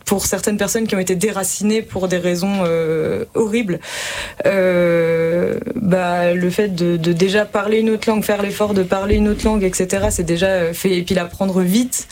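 A woman's voice: mid-range voice, fairly even intonation, normal speed, darkish tone, a little hiss or hum. A woman from France taking part in a talk show discussion, earnest and rephrasing her thoughts as she goes. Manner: earnest, thinks aloud and rephrases. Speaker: panel participant.

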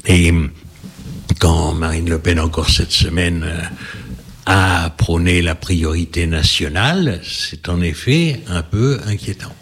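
A man speaking as a guest in a panel discussion, measured and hesitant with many pauses. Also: deep voice, fairly bright tone, breathy edge. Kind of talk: reflective debate talk.

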